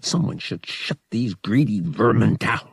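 Cynical young space pilot for audiobook, read by a man: wry, wary, gravelly, husky. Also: lowish voice, animated, unhurried, no echo, heavily acted. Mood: simmering disgust. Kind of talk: audiobook character dialogue.

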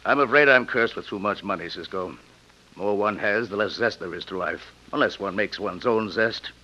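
An actor playing a jaded rich gentleman villain, polished and pompous, in an old radio western. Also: lowish voice, moderate pace. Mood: smoothly aloof. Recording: dull, noisy old radio recording.

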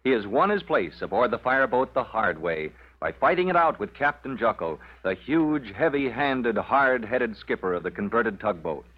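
A man's voice: medium pitch, animated, moderate pace, hardly any pauses. A man announcing and narrating an old radio serial in a dramatic scripted style. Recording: muffled vintage radio recording.

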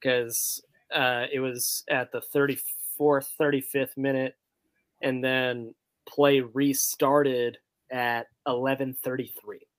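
A man's voice: mid-range voice, level tone, takes it slowly, no room sound. A man chatting casually as a soccer fan podcast panelist, talking loosely with many filler words. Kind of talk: casual chat.

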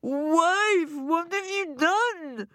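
Woman doing a storybook husband, panicky, blustering, self-pitying, high-pitched, melodramatic, dry sound. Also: steady tone, takes it slowly, no echo. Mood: panicked. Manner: roaring and wailing melodramatically.

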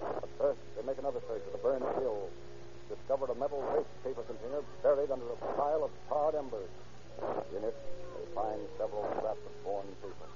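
A man's voice: mid-range voice, noisy background. Man narrating an old radio crime drama. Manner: brisk, dramatic storytelling. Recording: dull vintage radio recording.

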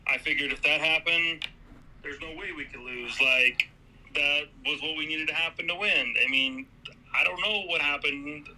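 A man calling in as a fan guest to a live sports stream, talking in a quick, wry way. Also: a little high, bright, forceful tone, slight background noise, rough recording. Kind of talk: casual fan talk.

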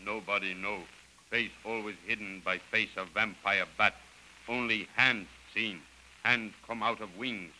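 An actor playing a loyal, deferential native servant and guide who speaks halting broken English in an old radio serial. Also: male voice, fairly low pitch, fairly even intonation, pushed and bright, rough recording. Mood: earnest, mysterious. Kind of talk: 1930s radio serial acting. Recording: old radio recording with faint noise.

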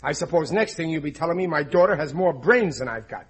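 Husky, higher-voiced blustering family man, stubborn and dim, old radio comedy style. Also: lively intonation, fast talker. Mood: indignant. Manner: blustering, hesitant, ungrammatical. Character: blustering, dim, stubborn forty-year-old working-class husband and father. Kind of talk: 1940s radio comedy acting. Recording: old radio broadcast recording.

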